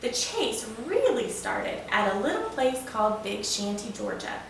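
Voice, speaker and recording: A woman's voice: a little high, very animated, measured pace, gravelly, exaggerated voice. Woman reading as a folksy old locomotive, very echoey. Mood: folksy, animated. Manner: folksy, eager storyteller. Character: the General, proud folksy old steam locomotive, male. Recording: echoey room, distant mic, faint noise.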